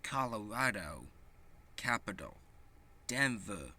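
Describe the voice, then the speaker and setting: A man with a fairly low, bright voice reads a children's book aloud. He goes slowly and haltingly, and his pitch swings widely as he reads. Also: very animated. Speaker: storybook reader.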